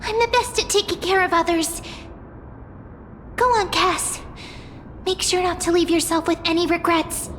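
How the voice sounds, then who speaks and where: A woman's voice: very high-pitched, moderate pace, long pauses, a bit bright, slight background noise. A woman voicing a small, cute, childlike female companion creature in a video game, earnest and caring in a cutesy way. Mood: reassuring.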